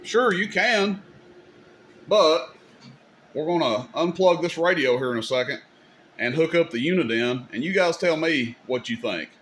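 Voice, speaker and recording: A man with a slightly high voice talks viewers through a hands-on technical demonstration. He is informal and folksy, explaining things casually as he goes. Some background noise sits behind his voice. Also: animated, a little slow, a bit bright. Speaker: video host demonstrating CB radio gear.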